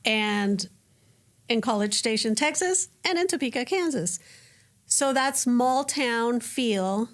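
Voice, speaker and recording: A woman's voice: on the high side, slow pace. A woman from Colombia, a native Spanish speaker, with a Colombian accent, chatting as an interview guest and acting out quoted voices. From Colombia (Cali). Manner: animated, restarts and acts out quoted voices. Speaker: guest, educator. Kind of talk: casual interview chat.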